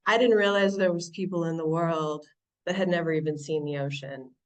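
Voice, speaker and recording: A woman from Southern California with an American accent, sharing her story reflectively at a recovery meeting, often pausing and restarting. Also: low voice, steady tone, distant mic. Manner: reflective, halting, many restarts and fillers. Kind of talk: personal recovery share. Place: online meeting from home.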